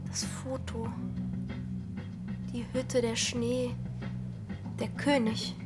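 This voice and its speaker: A woman's voice: a little high, little pitch movement, very slow, over loud music. A young actress playing a restless, brooding village girl in a radio drama, speaking in intense, fragmented phrases. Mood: dreamy, haunted.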